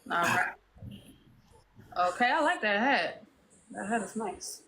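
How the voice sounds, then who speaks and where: A woman's voice: medium pitch, slow, noisy background. A woman hosting a live online panel, calling people up one by one and keeping things orderly. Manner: steady, organizing, calls on speakers. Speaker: host moderating a live panel. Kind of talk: casual live-stream moderation.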